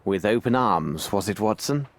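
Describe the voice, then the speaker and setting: A clever, composed gentleman detective, voiced by a male game actor. His voice is on the low side with very lively intonation. He speaks formally and precisely, polite yet in command. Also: moderate pace, natural voice. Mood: brisk, pensive. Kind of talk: video game cutscene acting.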